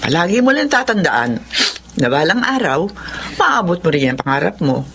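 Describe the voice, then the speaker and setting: A woman's voice: lowish voice, very animated, moderate pace, fairly bright tone, slight background noise. An actress with a Filipino accent playing a caring, encouraging middle-aged mother in a radio drama. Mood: comforting.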